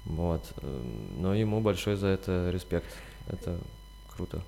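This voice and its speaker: Male screenwriter guest, deep voice, casual explainer, hall-like reverb. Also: steady tone, measured pace, creaky, dark tone, slight background noise.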